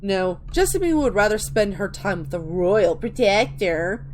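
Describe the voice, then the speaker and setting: A woman's voice: medium pitch, expressive, measured pace, background noise. A woman giving a theatrical dramatic reading of video game texts, stumbling over words and restarting now and then.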